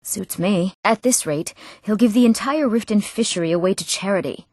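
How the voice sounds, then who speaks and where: A woman playing an earnest, emotional young townswoman in a fantasy video game, speaking clearly and expressively. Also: mid-range voice, moderate pace, a little mellow, no echo, clean studio sound, lightly acted. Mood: disdainful. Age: young adult. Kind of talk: scripted fantasy game dialogue.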